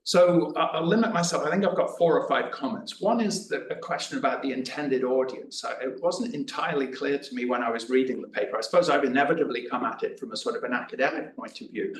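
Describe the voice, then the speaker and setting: A man giving a chatty, self-deprecating discussion of a paper at a conference, often restarting his words. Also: mid-range voice. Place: conference room. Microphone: away from the room microphone. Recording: roomy, distant mic, faint noise.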